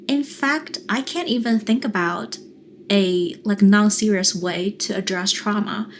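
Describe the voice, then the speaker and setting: A young adult woman with a Mandarin Chinese accent, a therapist talking calmly and earnestly to camera, explaining things in a coaching style. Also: medium pitch, measured pace, a little hiss or hum. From China. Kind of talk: calm coaching monologue to camera.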